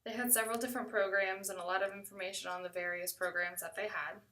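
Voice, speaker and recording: Bright-voiced American woman telling a story. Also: medium pitch, fairly even intonation, fast, distant mic. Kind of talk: first-person storytelling, conversational.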